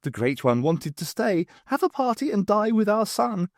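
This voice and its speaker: Man putting on a high-voiced ancient alien poet. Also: big pitch swings, normal speed, dry recording, clean studio sound. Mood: wistful. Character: ancient male alien poet, wistful rebel, last survivor.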